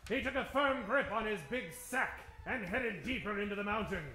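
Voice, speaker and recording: A man's voice: high-pitched, moderate pace, bright, forceful tone. A man narrating a comic old-time radio serial in a dramatic, tongue-in-cheek way. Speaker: narrator, adventure host. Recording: echoey stage, music behind.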